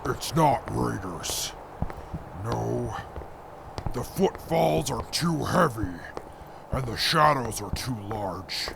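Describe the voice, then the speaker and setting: A very gravelly wasteland scout acted by a man, stern and watchful, speaks slowly in careful formal phrases. Clear ambient noise sits behind him in this radio drama. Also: medium pitch, expressive, exaggerated voice. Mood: wary, grave. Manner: clipped, formal, cautious. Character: wary, stern male scout and navigator. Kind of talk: post-apocalyptic audio drama acting.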